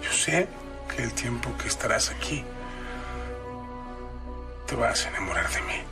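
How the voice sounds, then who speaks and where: A brooding, proud Mexican husband in a telenovela. His voice is gravelly and measured, with intensity, and music plays clearly underneath. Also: medium pitch, lively intonation. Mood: wounded, proud. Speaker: telenovela actor. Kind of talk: telenovela dramatic acting.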